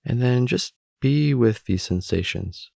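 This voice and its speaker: A man guiding a meditation in a calm, unhurried, instructional manner, leaving long pauses between phrases. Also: medium pitch, creaky, no room sound, studio quality. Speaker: meditation guide. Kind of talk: guided meditation narration.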